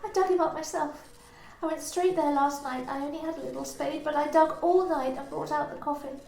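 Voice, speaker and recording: A woman's voice: high-pitched, steady tone, gravelly, echoey room, a little hiss or hum. A woman playing a grieving, worn-down mother. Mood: anguished. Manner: quiet and halting, rising to anguished outbursts. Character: grieving young mother, worn-down office employee. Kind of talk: amateur radio play reading.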